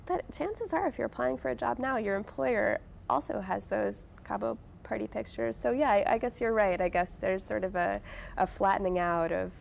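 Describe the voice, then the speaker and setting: A woman's voice: mid-range voice, normal speed, vocal fry, slight background noise. A woman answering as an interview guest in an informal, conversational way, often breaking off and rephrasing. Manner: informal, frequent "like" and self-interruptions.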